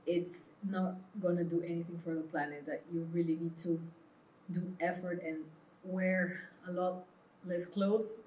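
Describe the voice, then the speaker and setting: A woman from Peru answers as a guest in an interview. Her voice sits a little lower than most women's, and she explains things earnestly in a conversational style. The recording is roomy, with clear echo around her words. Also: little pitch movement, unhurried, a little mellow, slight background noise. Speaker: interview guest, clothing brand founder. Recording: roomy, echoing sound.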